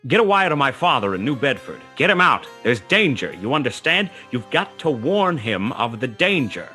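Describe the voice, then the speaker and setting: An actor playing a world-weary, wry, tough private detective who narrates a 1920s hard-boiled radio play in a clipped, dramatic manner. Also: male voice, medium pitch, big pitch swings, moderate pace, pushed and bright, faint music behind. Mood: urgent.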